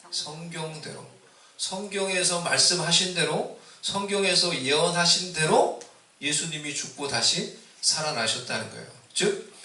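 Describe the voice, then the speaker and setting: Male pastor from Seoul, Easter sermon, ringing bright tone, distant mic in an echoey church hall. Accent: Seoul Korean with a mix of Busan dialect. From Seoul, Korea. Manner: rises loud and high on exhortations, asks the congregation questions.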